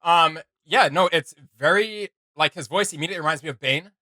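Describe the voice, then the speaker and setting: An enthusiastic young man co-hosting a reaction video, talking casually with plenty of fillers. His voice is bright and sits slightly high for a man. The recording is close and dry. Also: fairly quick, no room sound. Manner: chatty and enthusiastic, with frequent fillers and laughs.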